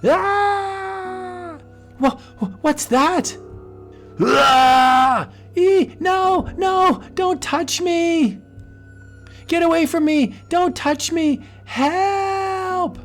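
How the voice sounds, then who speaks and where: A woman voicing a frightened young female fashion model in an old cartoon, shrill and panicky. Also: sing-song, normal speed, soft background music. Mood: terrified.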